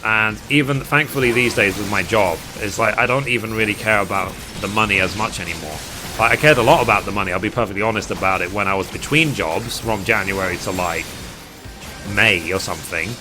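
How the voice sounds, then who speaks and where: A man's voice: on the low side, a little fast, rough recording. A man with a British accent chatting casually and at rambling length with his live stream viewers as a gaming streamer. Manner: rambling, reading chat aloud and replying. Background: music.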